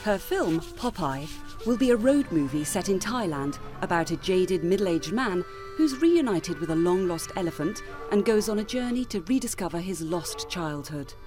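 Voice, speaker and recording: A woman delivers scripted news-feature narration in a fairly low voice. Prominent music plays behind her measured storytelling. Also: animated.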